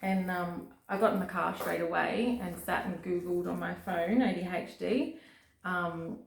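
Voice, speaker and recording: A woman hosts a loose, casual chat, her croaky voice sitting a little low and turning gravelly. She rambles from thought to thought with plenty of fillers. The room around her is echoey, with a faint hiss. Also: unhurried. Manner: chatty, rambling with many fillers. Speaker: host.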